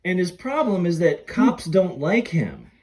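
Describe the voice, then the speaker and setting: A man co-hosting a casual fan podcast about a film, effusive and stammering with excitement, often breaking into giggly laughter. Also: high-pitched, unhurried, a crowd in the background. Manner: repetitive, stammering excited delivery. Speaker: podcast host.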